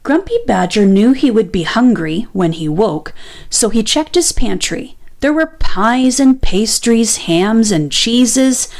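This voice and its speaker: A woman narrating a children's picture book aloud in an expressive, deliberate storytelling style. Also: fairly high pitch, creaky.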